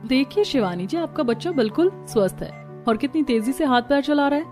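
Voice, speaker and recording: A woman's voice: high voice, expressive, a little fast, a little mellow, soft background music. A woman playing an encouraging doctor in a cartoon story. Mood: pleased, reassuring.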